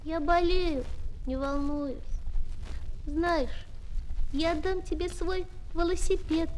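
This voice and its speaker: A bedridden little boy, earnest and trusting, with a very high childlike voice. It comes from a 1970s children's radio play, with faint flexi-disc noise. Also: fairly even intonation, takes it slowly, a little mellow, low-quality recording. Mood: earnest, wistful.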